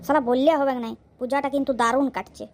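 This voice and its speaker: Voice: high-pitched, fairly even intonation, a little mellow, slight background noise. An actor voicing a carefree, blustering village drunkard in a comedy cartoon, bantering cockily. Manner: cocky, rapid rustic banter. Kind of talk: exaggerated comic cartoon dialogue.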